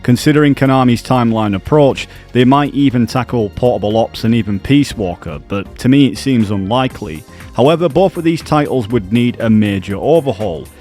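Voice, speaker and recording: A man's voice: mid-range voice, a little mellow. A man presenting gaming news as scripted video narration.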